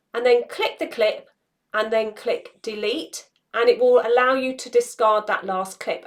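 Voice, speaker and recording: Woman in her 40s doing a step by step app tutorial, voice a bit on the high side, explaining stuff. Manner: explanatory, instructional. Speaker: social media tutorial presenter. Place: small indoor room, home studio.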